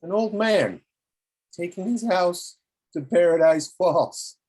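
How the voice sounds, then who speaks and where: A man playing a menacing, obsessive old explorer in a table read, theatrical and deliberate, with a husky voice. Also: sits high, darkish tone, low-quality recording. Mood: coldly menacing.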